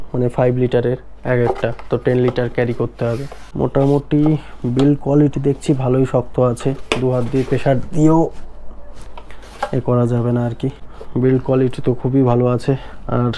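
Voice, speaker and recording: A man talks casually through an unboxing, pausing to think aloud as he goes. His voice is very soft-edged and dark, at a middling pitch with little rise and fall. Some ambient noise sits behind him. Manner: halting, thinking aloud as he handles items. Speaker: vlogger. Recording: noisy vlog recording with background ambience.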